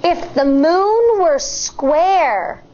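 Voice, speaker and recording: An American woman in her thirties, a teacher reading a picture book aloud to children in an expressive, deliberate way. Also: very high-pitched, lo-fi sound. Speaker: elementary school teacher.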